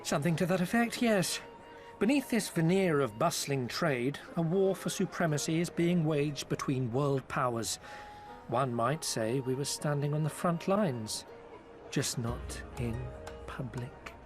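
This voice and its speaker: An actor playing a smooth-talking, foppish male merchant agent in a game cutscene, speaking in a theatrical, flowery, ingratiating way. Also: fairly high pitch, animated, unhurried. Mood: explaining. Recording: with background music.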